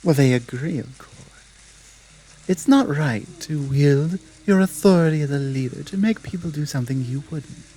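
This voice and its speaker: A woman playing a stern, principled female werewolf pack leader in an ASMR audio drama, protective and dominant, speaking in a measured, deliberate way. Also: low-pitched, animated, mellow, breathy edge, low-quality recording. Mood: firm, protective. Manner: measured, firm, deliberate, with pauses.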